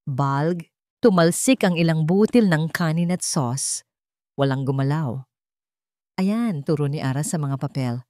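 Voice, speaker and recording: A woman's voice: deep voice, a little slow, a little mellow, no room sound, studio quality. A woman narrating a scripted audiobook drama with dramatic delivery.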